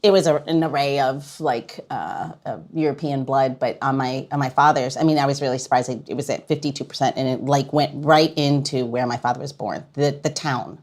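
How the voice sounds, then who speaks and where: A woman's voice: a bass voice, steady tone, fairly quick, hardly any pauses, darkish tone. A woman with an American accent hosting a podcast, talking casually in an informal, teasing way. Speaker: podcast host. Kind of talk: casual interview chat.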